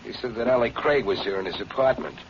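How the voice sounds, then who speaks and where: A man's voice: medium pitch, fast, lightly acted. A man playing a commanding, suspicious American police detective in an old-time radio crime drama, clipped and authoritative. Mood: firm, suspicious. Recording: muffled, noisy old radio recording.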